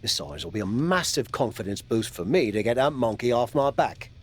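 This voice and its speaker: A veteran wrestler turned mentor, middle-aged and proud. His delivery is animated, frank and teasing, swinging freely in pitch. It is video game cutscene drama with faint music underneath. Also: fairly quick, husky. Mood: determined, persuading. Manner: frank, coaxing, wry.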